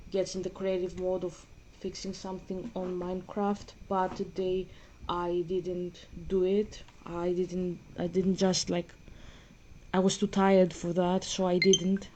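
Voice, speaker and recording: A young woman, chatting casually and rambling with many pauses and fillers while playing a game. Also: on the low side, dark tone, slight background noise, poor audio. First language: Greek. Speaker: gaming vlogger. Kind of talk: casual gaming chat.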